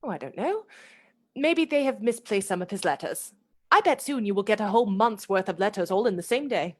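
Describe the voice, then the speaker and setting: A woman's voice: mid-range voice, expressive, brisk, a little mellow, natural voice. A woman acting a dreamy young Victorian lady in a radio play, airy and wistful as if under a spell. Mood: bright, reassuring.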